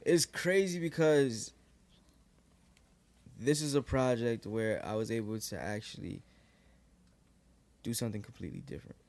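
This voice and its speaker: A young man in his twenties from New York, a guest rapper chatting casually in a podcast interview, relaxed and loose with lots of fillers. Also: medium pitch, normal speed, long pauses. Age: 20s. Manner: relaxed, lots of likes and restarts. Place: living room podcast set, indoors. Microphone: boom studio mic.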